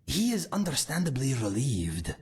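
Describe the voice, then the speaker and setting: An actor voicing a calm, earnest male cat-folk swordsman in a game, speaking in a measured, formal way with a raspy voice. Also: mid-range voice, full character voice. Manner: measured, formal and warm-hearted. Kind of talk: game character dialogue.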